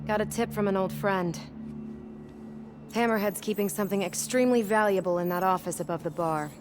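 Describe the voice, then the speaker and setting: An actress playing a sly, tough, self-assured cat burglar in a video game, with a cool, sardonic manner. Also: female voice, mid-range voice, a little fast, over music, lightly acted. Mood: cool, guarded.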